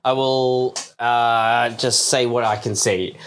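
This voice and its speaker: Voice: medium pitch, level tone, clean tone. A podcast co-host in casual comedic banter, sarcastic and often rattling off the same word several times. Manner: rapid repeated words, sarcastic. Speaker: co-host of a tech news podcast.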